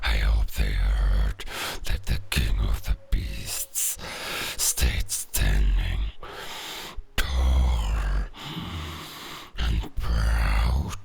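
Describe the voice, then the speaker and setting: Cinematic audio drama: proud dying werewolf king, growling, drawn-out solemn delivery, abyssal pitch. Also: a little mellow, exaggerated voice. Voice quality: very deep gravelly growl. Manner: slow, breathy, halting, solemn. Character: dying, defiant male werewolf, king of beasts. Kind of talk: cinematic audio roleplay acting. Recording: noisy ambience behind the voice.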